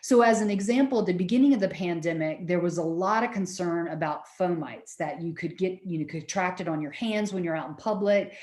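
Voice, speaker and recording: A middle-aged woman, lecturing students in a loud, projecting voice and often checking that they follow. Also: lowish voice, a little fast, few pauses, roomy sound. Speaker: university lecturer.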